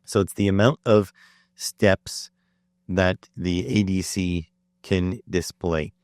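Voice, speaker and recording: Low, slightly hoarse man hosting a podcast. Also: slow, a little mellow, no room sound, clean studio sound. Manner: rambling, with frequent uh and um restarts. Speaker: podcast host. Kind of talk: solo educational podcast talk.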